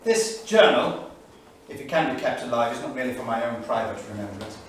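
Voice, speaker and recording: Male actor as 1938 Cambridge diarist: learned, anxious, wry, deliberate. Stage reading, distant and very roomy sound. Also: mid-range voice, sing-song, close to an everyday voice. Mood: grave, hopeful. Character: erudite, anxious English Cambridge don keeping a 1938 journal. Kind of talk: staged reading of journal entries, theatrical. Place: small studio theatre, live staged reading. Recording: very echoey theatre, distant mic, faint noise.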